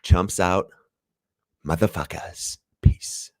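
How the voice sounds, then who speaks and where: A young man with a very deep, raspy voice, talking in a loose, rambling way and swearing now and then. The sound is dry and close, with no room echo. He comes across as a casual host chatting on a livestream. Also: steady tone, slow, darkish tone. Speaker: podcast host.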